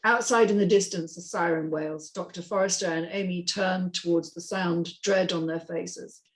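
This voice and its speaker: A woman's voice: lowish voice, steady tone, moderate pace. A woman reading a screenplay's stage directions as scripted narration.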